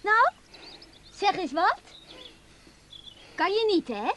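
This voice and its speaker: An actress playing a lively young woman in a radio play, with a mocking, playful, goading manner. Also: extremely high pitch, slow, a little hiss or hum, full character voice. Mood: teasing, gleeful.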